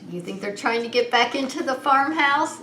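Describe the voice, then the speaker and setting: A woman hosting story time as a preschool teacher, reading in a sing-song, animated way and coaxing small children with questions. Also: high-pitched, fairly quick. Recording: roomy echo with some background noise.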